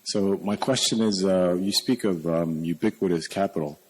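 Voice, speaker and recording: A man's voice: low voice, moderate pace, darkish tone, breathy edge, low-quality recording. A man from Sudan in the audience, asking a long, articulate question.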